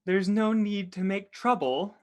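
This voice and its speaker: A performer voicing a smooth-talking, mock-polite henchman who threatens with false courtesy, in a livestreamed script reading. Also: medium pitch, unhurried, close to an everyday voice. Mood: silky menacing.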